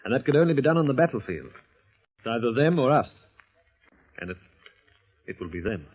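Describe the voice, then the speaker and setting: An actor playing a vengeful resistance fighter in 1940s radio horror, speaking in a measured, menacing and solemn way. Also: male voice, medium pitch, big pitch swings, slightly rough. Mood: resolute. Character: vengeful resistance fighter posing as a hanged ghost. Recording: tinny old radio broadcast.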